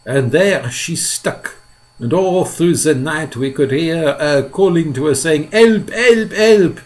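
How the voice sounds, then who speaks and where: A man's voice: a little high, slow, pitched above the performer's own voice. A man voicing a prim, fussy female spider who tells a fretful tale in a storybook reading.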